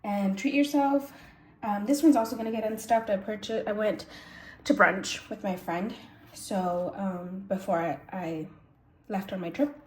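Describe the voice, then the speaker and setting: A woman's voice: medium pitch, a little slow, a little mellow. Sniffly congested lady doing her cash budget, chatty, fixes herself mid sentence, echoey room. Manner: chatty, self-correcting, thinks aloud while counting. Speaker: budgeting channel host. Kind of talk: casual cash-stuffing walkthrough. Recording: echoey room, faint noise.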